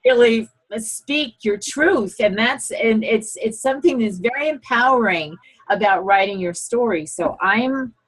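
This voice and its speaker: A woman's voice: on the high side, unhurried, slightly rough, rough recording. A woman hosting an online talk show, encouraging and affirming her callers. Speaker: host. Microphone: computer video call.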